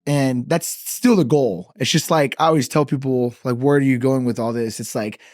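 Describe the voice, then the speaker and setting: A man who grew up in the Dominican Republic, a bar owner telling relaxed stories with lots of fillers in a casual podcast chat. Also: medium pitch, moderate pace, dry recording. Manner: relaxed, rambling storyteller with many fillers.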